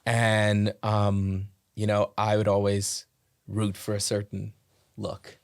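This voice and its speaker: Man, podcast host from the Bahamas, gravelly, dry close mic, thoughtful hedging questions. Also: on the low side, monotone, slow pace, no room sound. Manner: thoughtful, hedging questions, laughs through stories. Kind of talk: casual podcast chat.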